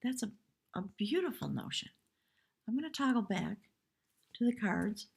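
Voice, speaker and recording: Hoarse, husky woman reading tarot. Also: mid-range voice, big pitch swings, moderate pace. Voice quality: husky, breathy. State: hoarse from much talking. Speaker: tarot reader, live stream host. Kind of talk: live tarot reading, intuitive spiritual commentary.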